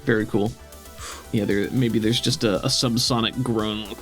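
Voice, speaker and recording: A man's voice: fairly low pitch, steady tone, unhurried, faint music behind. A man running a tabletop game as game master, talking through rules casually and encouragingly. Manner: encouraging, affirming.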